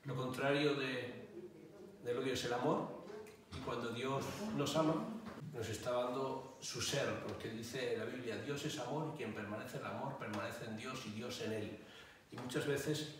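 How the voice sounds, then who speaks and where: A man in his forties with an accent from Spain speaks as an interviewed priest. His delivery is measured and explanatory, at a middling pitch. The sound is very reverberant and a bit distant, with faint noise. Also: steady tone. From Barcelona, Spain. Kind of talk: interview answers, explaining faith. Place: indoor hall with wooden bench. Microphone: small mic held out at arm's length by interviewer.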